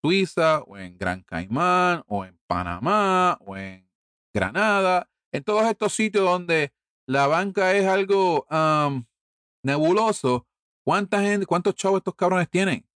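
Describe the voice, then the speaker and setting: A man's voice: high voice, animated, takes it slowly, a bit bright, no echo, studio quality. A middle-aged man from Puerto Rico with a Puerto Rican Spanish accent, delivering a heated, profane political monologue full of rhetorical questions.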